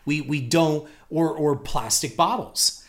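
A man's voice: a little high, takes it slowly, a loud crowd. A middle-aged man co-hosting a casual money podcast, easygoing and mildly skeptical in banter. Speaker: podcast co-host.

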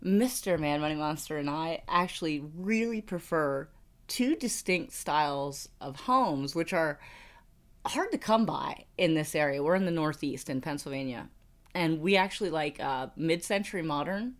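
A woman's voice: low voice, expressive, moderate pace. A woman in her thirties with an American accent, co-hosting a podcast in a casual, chatty storytelling way. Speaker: podcast co-host. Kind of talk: casual personal finance podcast chat.

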